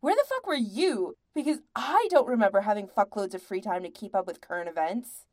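Woman doing flustered singer guy in an audiobook, defensive n wordy, highish voice. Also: animated, moderate pace, vocal fry, warm tone. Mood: defensive, indignant. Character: flustered, defensive young male singer, rambling and indignant.

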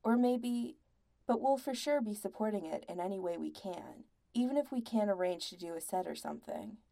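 A woman's voice: medium pitch, lively intonation, moderate pace, gravelly, warm tone. A woman voicing a flustered, defensive young male singer in a story, wordy and easily indignant. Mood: nervous, rambling.

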